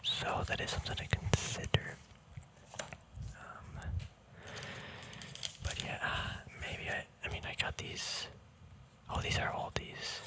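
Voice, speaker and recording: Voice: slow pace, pauses often. An ASMR host speaking in a casual, rambling whisper, hesitant and thinking aloud. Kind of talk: ASMR whispering, casual card unboxing chat.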